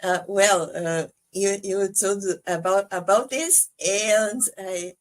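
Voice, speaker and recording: A Portuguese-native woman speaks slowly in casual conversation. She pauses often, fills gaps with soft fillers and laughs lightly between phrases. Her voice sits at an ordinary middle pitch. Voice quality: soprano singer. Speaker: guest.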